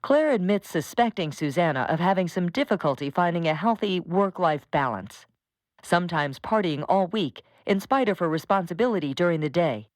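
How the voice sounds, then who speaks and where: A woman's voice: on the low side, lively intonation, moderate pace, dry recording, natural voice. An actress playing a female news journalist, delivering a formal scripted crime report for an audio drama. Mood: earnest, formal.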